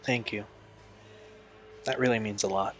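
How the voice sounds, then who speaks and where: Guy acting troubled young man in an audio drama, lowish voice, earnest n halting like a confession, music under it. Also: steady tone, close to an everyday voice. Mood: quiet, resolute. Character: Steve, troubled young man recalling a painful family past.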